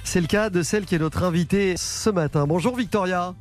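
Chatty radio host, higher voice. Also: male voice, fairly quick. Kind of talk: radio interview.

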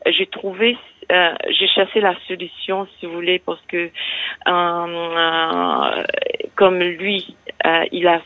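Older mauritian woman calling in, gravelly voice, halting and full of ums, tinny phone sound. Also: on the low side, expressive, dry recording. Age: 60s. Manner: hesitant, halting, many fillers and restarts. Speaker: radio caller. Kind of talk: personal confession on a call-in show. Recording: phone line.

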